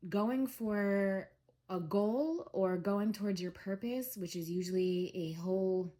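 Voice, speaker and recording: Slow-talking woman with a head cold. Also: medium pitch, warm tone. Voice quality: scratchy throat. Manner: slow, soothing, with frequent um fillers. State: head cold, nasal congestion. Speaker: tarot reader. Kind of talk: spiritual reading monologue.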